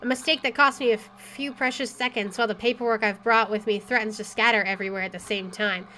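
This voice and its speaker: A woman game streamer with an expressive, excitable delivery, reading aloud and commenting as she plays. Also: on the high side, quick pace, a little hiss or hum, poor audio. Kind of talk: dramatic reading aloud and let's play commentary. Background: faint game music.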